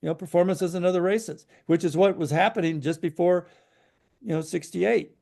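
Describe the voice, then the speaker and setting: A man's voice: sits high, moderate pace, gravelly, warm tone. A man co-hosting a podcast, giving frank opinions in casual chat, hesitant and full of fillers. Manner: frank, hesitant, many "you know" fillers. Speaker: podcast co-host.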